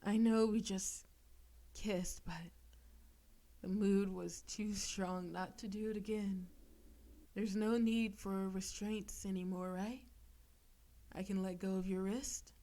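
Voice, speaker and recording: Slow, possessive demon girlfriend, voiced by a woman. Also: mid-range voice, steady tone. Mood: teasing, doting. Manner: slow, teasing, softly commanding, lapsing into breathy whispers. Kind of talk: intimate audio roleplay addressed to the listener.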